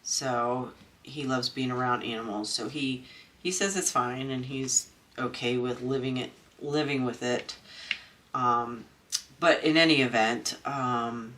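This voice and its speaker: A woman's voice: rumbling low, steady tone, a little slow, a bit bright, slight background noise. A woman from Maryland with a stuffy, congested nose, chatting casually at home while she crafts, rambling with lots of ums and restarts. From Maryland, USA. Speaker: crafting channel host.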